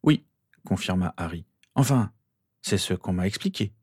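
A teenage boy wizard from a storybook, read by a man, earnest and wounded. His voice is husky and defensive, in a dry recording. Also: low-pitched, expressive, normal speed, darkish tone, no echo. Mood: matter-of-fact.

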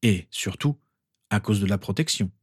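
A man's voice: on the low side, moderate pace, slightly rough, no echo. An earnest teenage wizard from a storybook, played by a man, a little awkward and hesitant as he explains. The recording is dry. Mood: explaining. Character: earnest, awkward seventeen-year-old wizard boy.

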